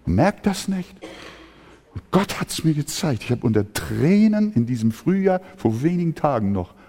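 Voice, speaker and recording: German preacher in a reverberant hall. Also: male voice, fairly high pitch, very animated, moderate pace, dark tone. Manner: impassioned preaching, acts out complaining voices. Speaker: pastor. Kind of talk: sermon.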